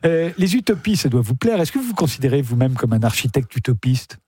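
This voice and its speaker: A man's voice: mid-range voice, sing-song, a little fast, mellow, slightly rough, no room sound. A man conducting a cultural interview in an inquisitive, conversational way.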